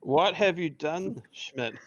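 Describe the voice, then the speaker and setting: A man reading the part of a hesitant young roommate, slightly high, gravelly, lo-fi. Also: takes it slowly, a bit bright. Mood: annoyed. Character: rambling young man wary of living with women. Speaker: podcast host reading a part. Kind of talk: amateur table read.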